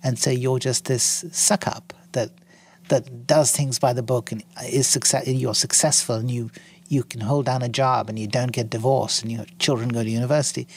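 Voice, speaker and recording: A man in his sixties from India, a guest in an interview, speaking in an articulate, measured way with frequent ums and restarts. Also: mid-range voice, fairly even intonation, darkish tone, a little hiss or hum. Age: 60s. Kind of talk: interview conversation.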